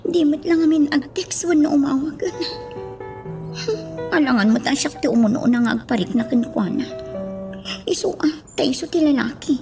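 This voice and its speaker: Lovelorn young woman, very high voice, caring, radio drama, music behind. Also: moderate pace, long pauses. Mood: wistful.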